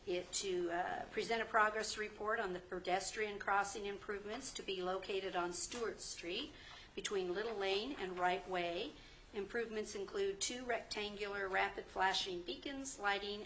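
A woman's voice: medium pitch, a bit bright, a little hiss or hum, poor audio. A woman chairing a transportation commission meeting, formal and procedural. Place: public meeting chamber.

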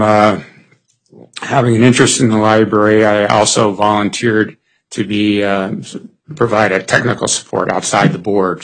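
A man in his sixties, hoarse, answering interview questions for a board seat at a public meeting, pausing with many fillers and restarts. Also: on the low side, fairly bright tone, rough recording.

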